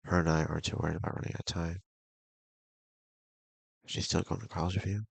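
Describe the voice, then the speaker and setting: A man's voice: deep voice, flat delivery, fast, gravelly, husky, no room sound, full character voice. A man voicing an earnest, bewildered young male friend in a visual novel, plain and conversational, with music behind. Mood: earnest, puzzled.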